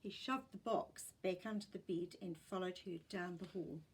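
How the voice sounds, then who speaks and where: A woman reading a story aloud as an expressive, scripted narrator. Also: lowish voice, normal speed, slight background noise.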